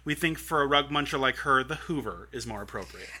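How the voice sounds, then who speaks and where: A man's voice: medium pitch, lively intonation, brisk, a loud crowd. A man co-hosting a podcast, reading listener emails in a dry, matter-of-fact way. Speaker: podcast co-host.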